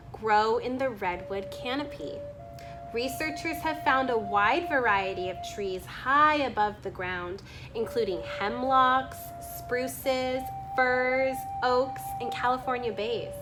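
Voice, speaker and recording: A woman with a slightly high voice narrates a nonfiction nature book. She reads very slowly and deliberately, phrase by phrase, as a read-aloud. Music plays clearly behind her. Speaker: reader reading a children's nonfiction book aloud.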